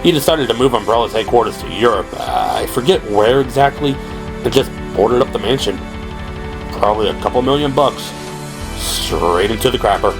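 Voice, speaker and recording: Man acting as gruff middle-aged cop, blunt, knowing, slangy, audio drama, music underneath. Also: medium pitch, expressive, moderate pace, natural voice. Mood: dismissive.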